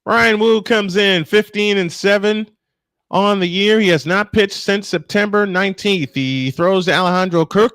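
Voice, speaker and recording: A man's voice: high-pitched, a bit bright, no room sound. A man calling live baseball play-by-play in punchy, clipped phrases, mixed with casual chat. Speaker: play-by-play announcer and host.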